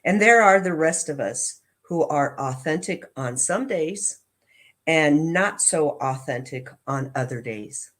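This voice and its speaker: Low-pitched woman reading slowly and deliberately. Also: lively intonation. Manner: deliberate, phrase-by-phrase delivery. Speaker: prayer practitioner and reader. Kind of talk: measured read-aloud of affirmations and a book reading.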